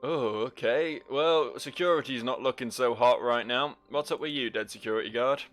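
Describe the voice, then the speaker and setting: A man's voice: mid-range voice, moderate pace, faint music behind. A man giving joking, sweary, rambling commentary as he plays through a game. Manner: rambling, dryly silly. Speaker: gaming video host.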